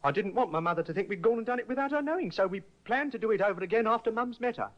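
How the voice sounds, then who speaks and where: A man's voice: sits high, lively intonation, rapid-fire. An actor playing a shabby young man in a 1950s radio drama, defensive and pleading. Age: young adult. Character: shabby young man, nervous son and husband. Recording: muffled old radio broadcast.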